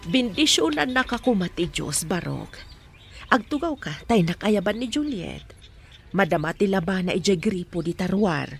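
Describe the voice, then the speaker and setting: A woman playing a soft-spoken, cautious mother who counsels her daughter in an amateur radio drama. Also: mid-range voice, lively intonation, normal speed, gravelly. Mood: gentle.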